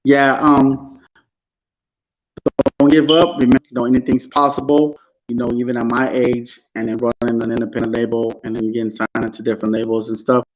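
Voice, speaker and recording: A middle-aged man appears as a rapper guest, polite and agreeable. He speaks with a flat, even delivery. His voice comes through muffled and lo-fi. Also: medium pitch, brisk, a little hiss or hum. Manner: polite, short affirming replies. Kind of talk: casual interview answers.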